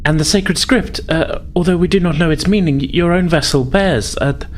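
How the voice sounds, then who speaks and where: A man's voice: a little high, brisk. Hesitant, formal man playing a devout alien pilot. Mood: earnest, reverent. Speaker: tabletop role-playing player. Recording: faint background noise.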